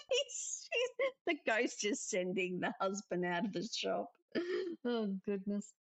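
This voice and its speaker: A woman's voice: medium pitch, wildly swooping pitch, slow pace. A woman reading visual novel dialogue aloud expressively, with casual commentary of her own. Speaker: let's-player narrator.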